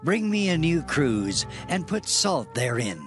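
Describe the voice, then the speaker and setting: A man playing a devout, solemn prophet in dramatized scripture, declaiming archaic lines fervently and deliberately. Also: a little high, sing-song, over music. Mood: solemn authority. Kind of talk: dramatized scripture audio acting.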